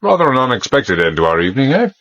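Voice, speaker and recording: A man's voice: mid-range voice, huge pitch swings, fast talker, a bit bright, dull audio. An actor playing a smug, urbane male villain in a radio drama who feigns friendship with insinuating politeness. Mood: falsely genial.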